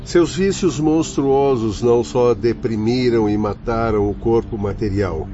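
A stern, learned male spirit instructor played by an actor in a radio play. His mid voice is dark and mellow, with formal declamation. The recording is dull, with faint music. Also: medium pitch, a little slow, slight background noise, lightly acted. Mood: grave, explaining. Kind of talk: radio drama acting.

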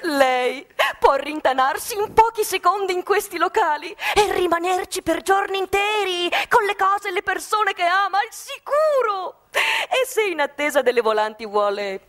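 Satirical radio play: gleeful security saleswoman, high-pitched, very animated, melodramatic emphasis, gushing pitch. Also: moderate pace. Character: gleeful, zealous saleswoman of armored panic rooms. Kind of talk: satirical radio theatre acting.

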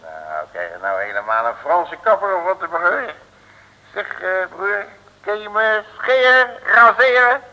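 High, blustering folksy detective. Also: male voice, lively intonation, unhurried, pushed and bright, dull audio, full character voice. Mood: breezy. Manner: colloquial, flustered, stammering bluster. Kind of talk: 1960s radio serial acting. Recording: reverberant old radio recording.

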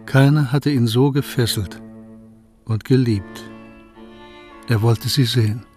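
A man narrating a radio play in a steady storytelling manner. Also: medium pitch, slow pace, faint music behind. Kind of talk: scripted radio drama narration.

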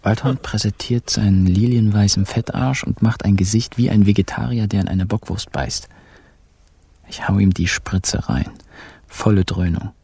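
An actor narrating a radio crime comedy as a wry, cheeky kidnapper, in a dry, colloquial way. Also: male voice, on the low side, normal speed, vocal fry, dark tone, husky, no room sound. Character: wry, cheeky male kidnapper telling his own caper. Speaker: first-person narrator. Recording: music behind the voice.

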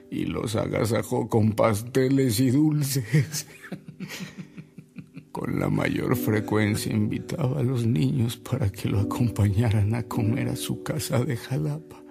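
A man's voice: medium pitch, takes it slowly, gravelly, a little mellow. An actor playing a tired, devoted man reminiscing with solemn emotion in a radio drama. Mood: fond, narrating. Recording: music behind the voice.

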